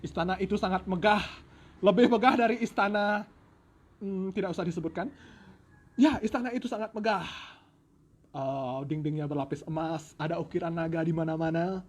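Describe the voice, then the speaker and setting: High-voiced man telling folk tales. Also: normal speed. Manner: playful, joking. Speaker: storyteller. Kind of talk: animated folk-tale storytelling with playful asides. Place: at home.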